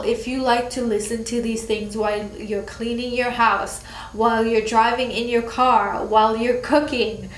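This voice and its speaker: Young woman, nasal, congested from a cold, fairly high voice, chatty, echoey room. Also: a little hiss or hum. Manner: chatty, many fillers, laughs between words. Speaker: channel host. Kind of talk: casual chat to camera.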